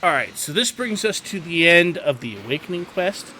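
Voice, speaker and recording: Animated man explaining a video game. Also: sits high, moderate pace, low-quality recording. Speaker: video game guide host. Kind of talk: casual instructional gameplay commentary. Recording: clear background game noise. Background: video game ambience.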